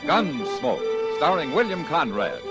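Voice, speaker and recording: A man's voice: on the high side, huge pitch swings, takes it slowly, creaky. An American man announcing a fifties radio drama in a bold, theatrical manner. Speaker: show announcer. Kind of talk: dramatic 1950s radio introduction. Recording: vintage radio broadcast with loud music.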